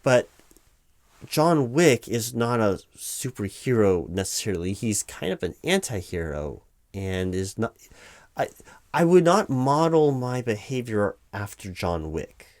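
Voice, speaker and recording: A man playing a distracted hiring manager in a comedic one-sided job interview, rambling haltingly and repeating himself. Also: mid-range voice, sing-song, darkish tone. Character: distracted male corporate hiring manager, hesitant, patient, mildly baffled. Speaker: roleplay performer as hiring manager. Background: computer keyboard typing.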